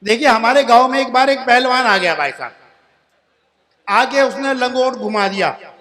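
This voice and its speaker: A man's voice: very high-pitched, moderate pace, sharp tone. A man in his sixties, a comic poet performing at a poetry gathering, repeats each couplet line before the punchline and chats with the crowd as friends. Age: 60s. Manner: chatty, addresses the crowd as friends. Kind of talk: humorous poetry recitation and storytelling. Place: stage at a live poetry event.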